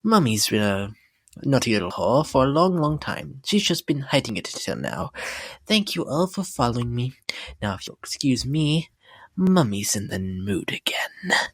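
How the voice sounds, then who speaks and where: Genteel deranged pony lady for horror audio drama: husky whispery melodrama, dry close sound, music bed. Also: a bass voice, big pitch swings, dry recording, exaggerated voice. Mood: unhinged, dreamy. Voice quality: breathy, often dropping to a whisper. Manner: theatrical, genteel, drawn-out delivery. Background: background music.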